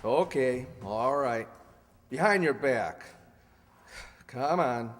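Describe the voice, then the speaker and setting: A man playing a plain-spoken police officer in an old-time radio crime drama, steady and matter-of-fact. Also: medium pitch, slow pace. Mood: brisk, in control. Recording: echoey hall stage recording.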